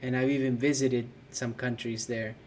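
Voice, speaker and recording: Casual male podcast co-host, mid pitch, faint hiss behind him, easygoing and halting. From California. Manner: relaxed, searching for words, frequent fillers.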